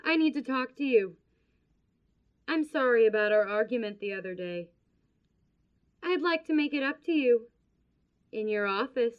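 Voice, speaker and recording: A woman playing an anguished, sharp-tongued scheming wife in a horror audio drama, with a dramatic, pointed delivery. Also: fairly high pitch, lively intonation, normal speed, pauses often, smooth, highs cut off, lightly acted. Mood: pleading, seductive.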